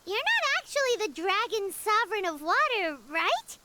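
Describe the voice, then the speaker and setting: Squeaky, very high childlike fairy companion. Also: female voice, very animated, moderate pace, heavy background noise. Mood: surprised curiosity. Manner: exclaiming, hesitant questions. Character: small childlike fairy companion, curious, blunt, excitable. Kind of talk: video game voice acting. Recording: loud background music.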